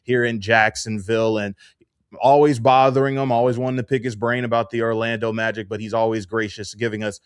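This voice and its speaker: A man's voice: medium pitch, level tone, fairly quick, clear, resonant tone, no room sound. A man with a mid-range voice hosts a sports radio interview. His delivery is upbeat and conversational, and the sound is close and dry, with very little room on it. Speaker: radio sports show host.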